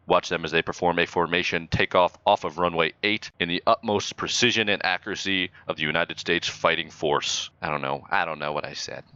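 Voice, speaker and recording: Deep-voiced deadpan man, dry close mic. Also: dry recording, dull audio. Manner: deadpan, mock-official. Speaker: flight simulator player acting as air traffic controller and airshow announcer. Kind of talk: improvised radio talk and mock commentary.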